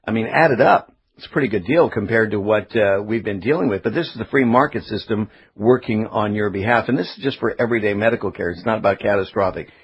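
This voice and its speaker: A middle-aged man hosting live talk radio, speaking in a halting, opinionated, conversational way. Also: lowish voice, a little hiss or hum. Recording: dull, lo-fi radio broadcast audio.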